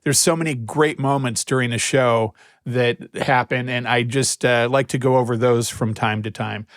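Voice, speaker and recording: A man's voice: medium pitch, fairly even intonation, moderate pace, no room sound. Man, podcast host, teasing, joking, dry close mic. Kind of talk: casual chat. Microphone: podcast microphone.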